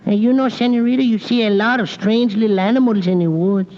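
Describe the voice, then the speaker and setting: A man's voice: high-pitched, fairly even intonation, brisk, a little mellow, lightly acted. An actor playing a naive, cheerful Mexican handyman in old-time radio comedy, with a stage Mexican accent and broken English. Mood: cheerful patter. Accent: Mexican Spanish, stage version. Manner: broken English, joke-telling patter. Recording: muffled old radio, hall echo, faint noise.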